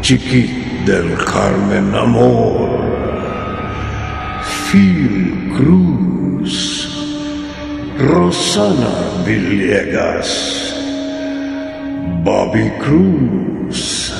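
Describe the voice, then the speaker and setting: A man with a gravelly, somewhat low voice delivers horror-show narration at a crawling pace. He stretches every phrase with ominous weight. A long, echoing reverb and a clear music bed sit under his voice. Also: very animated. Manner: slow, drawn-out, ominous delivery. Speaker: radio drama announcer.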